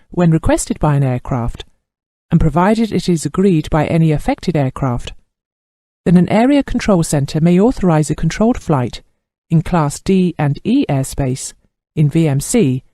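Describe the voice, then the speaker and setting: A man narrates training material formally and with care. His voice sits a little high for a man and moves through a lively range of pitch. The tone stays soft and mellow. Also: no echo, studio quality. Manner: formal, measured reading. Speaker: instructor narrator. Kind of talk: scripted instructional narration.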